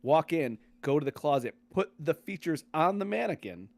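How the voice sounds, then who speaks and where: A man's voice: on the high side, big pitch swings, moderate pace, no room sound. Man casually talking through a game. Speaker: podcast host.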